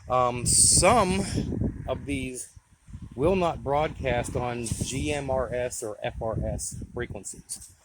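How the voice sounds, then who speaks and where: A man's voice: mid-range voice, slow pace, noisy background. An American man giving a casual, plain-spoken, folksy demonstration of his gear. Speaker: radio hobbyist presenting his gear.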